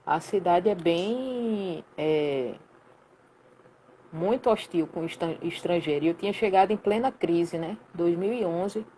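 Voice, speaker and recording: A woman in her late thirties from Recife with a Pernambuco accent, telling a story in a lively, colloquial way full of slang during a casual live chat. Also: fairly low pitch, a little slow, slight background noise, low-quality recording. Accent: Pernambuco Portuguese. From Recife, Brazil. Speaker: guest, sound professional.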